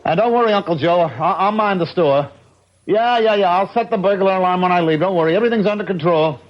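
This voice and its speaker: An actor playing a falsely agreeable schemer with a hesitant, placating delivery in a radio drama. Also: male voice, high voice, fast talker, dull audio, a little hiss or hum, lightly acted. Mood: eagerly reassuring. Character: gullible-acting nephew, falsely agreeable, concealing his scheme. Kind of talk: 1970s radio drama acting.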